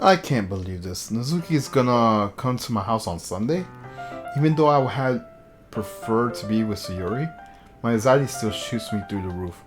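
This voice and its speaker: A man with a fairly low voice reads visual novel text aloud for a stream. He drops in casual asides and trips over words now and then. Guitar music plays clearly behind him. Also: expressive, normal speed. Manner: stumbles over words while reading. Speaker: game streamer.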